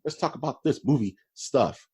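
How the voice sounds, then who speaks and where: A man co-hosting a casual podcast, animated and joking, often calling his co-host "dude". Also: medium pitch, normal speed, breathy edge.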